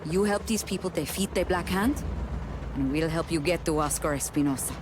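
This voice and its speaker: An actress playing a serious, pragmatic rebel leader, measured and persuasive, in a game cutscene. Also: female voice, deep voice, background noise, natural voice. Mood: grave, persuasive. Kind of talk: video game cutscene acting.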